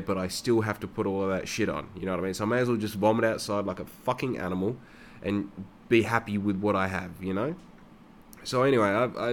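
Young Aussie man, low-pitched, loose and profane, talks off the cuff to camera. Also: a little hiss or hum. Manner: rambling and heavily profane. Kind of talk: casual personal monologue.

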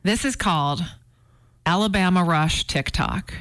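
Woman co-host, crisp clean voice, relaxed conversational banter, dry studio sound. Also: fairly low pitch, a little slow, dry recording. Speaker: radio show regular. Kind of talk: casual banter. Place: radio studio.

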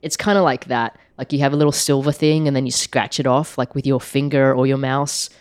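A woman who is sick and sounds croaky, chatting casually as a podcast co-host, with many "like" fillers. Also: rumbling low, fairly even intonation, a little fast, darkish tone, no room sound, clean studio sound. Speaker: podcast co-host, app maker. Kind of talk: casual chat.

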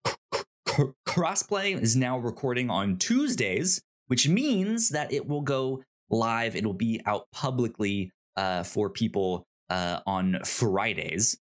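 A man's voice: medium pitch, expressive, takes it slowly. A man hosting a podcast, chatting in an upbeat, informal way. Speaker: podcast host. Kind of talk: casual podcast chat and housekeeping plugs.

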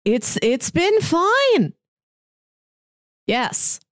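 A woman's voice: extremely high pitch, huge pitch swings, extremely slow, no echo, studio quality. A woman in her thirties chatting casually as a podcast co-host, with a wry, rambling way of explaining things. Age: 30s. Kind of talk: casual pop culture chat.

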